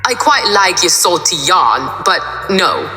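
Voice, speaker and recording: A woman voicing a scheming pony pirate in a cartoon comic dub, deliberate and cool. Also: fairly low pitch, sing-song, sharp tone, exaggerated voice. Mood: cool, menacing. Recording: echoey, music behind.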